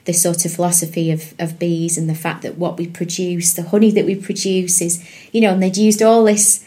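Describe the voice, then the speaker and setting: A woman's voice: lowish voice, little pitch movement, fairly quick, warm tone. A woman, chatting as a podcast guest, rambling with many fillers and restarts. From England. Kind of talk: casual interview chat.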